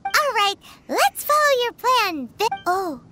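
A woman voicing a tiny, chatty, childlike fairy girl companion in a video game, squeaky and exclamatory. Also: very animated, slow, very noisy, heavily acted. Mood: puzzled, eager. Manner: speaks of herself in the third person, exclamatory. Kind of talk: animated video game dialogue. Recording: game music loud behind the voice. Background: loud game music.

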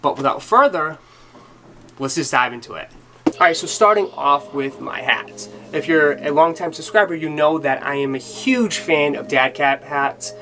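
A man's voice: on the high side, expressive, moderate pace. A man in his thirties with a Boston accent from Massachusetts, giving a casual closet tour in a small closet, gushing about each piece with lots of fillers. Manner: enthusiastic, filler-heavy, gushing. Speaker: fashion vlogger. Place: small bedroom closet, indoors. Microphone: camera on tripod at close range. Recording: background music under voice.

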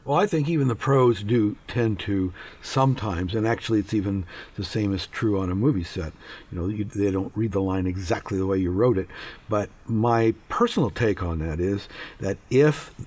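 A man's voice: fairly low pitch, moderate pace, darkish tone, slightly rough. A man hosting a panel interview on a radio show, reading his introductions and putting questions to guests.

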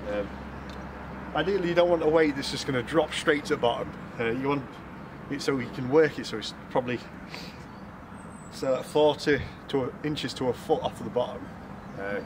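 English man chatting outdoors, noisy background. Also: medium pitch. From United Kingdom. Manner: informal, colloquial. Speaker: fishing vlogger. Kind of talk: casual explanatory vlog chat. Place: outdoors on a canal bank.

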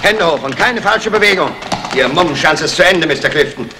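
Man acting a brisk, commanding police inspector. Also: fairly high pitch, lively intonation, heavy background noise, lightly acted. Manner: authoritative, clipped. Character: brisk, commanding male Scotland Yard inspector. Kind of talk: 1960s German radio crime drama acting.

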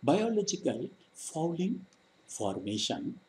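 A man giving a technical slide lecture, reading aloud in short, halting chunks as a trainer. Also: fairly high pitch, sing-song, a little mellow, slight background noise, poor audio. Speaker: engineering trainer.

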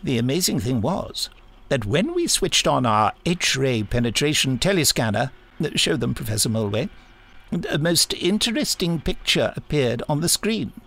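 A man's voice: mid-range voice, sing-song, moderate pace, gravelly, a bit bright, pitched above the performer's own voice, exaggerated voice. A man voicing a prim, smug government official in an audiobook story. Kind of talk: audiobook character acting.

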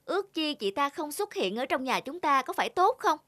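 A woman's voice: high voice, very animated, normal speed, a bit bright, dry recording. A woman voicing a spoiled, jealous, rude young stepsister in a children's cartoon, whiny and snappish. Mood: jealous, annoyed.

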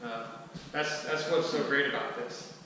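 A man's voice: mid-range voice, fairly even intonation, measured pace, pushed and bright. Man presenting in a very echoey hall. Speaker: presenter at a skillshare. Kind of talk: informal presentation to a small group. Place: large indoor room with wooden floor and mirror. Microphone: distant camera microphone. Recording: echoey hall, far from mic, faint noise.

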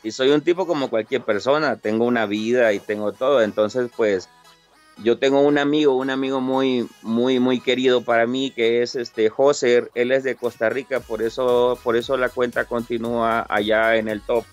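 A man's voice: medium pitch, fairly even intonation, moderate pace, faint music behind. A young man with a Mexican Spanish accent, answering interview questions casually and repeating his words as he talks. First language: Italian-born, raised in Mexico. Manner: friendly, repeats words, frequent fillers. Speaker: interview guest, top game player.

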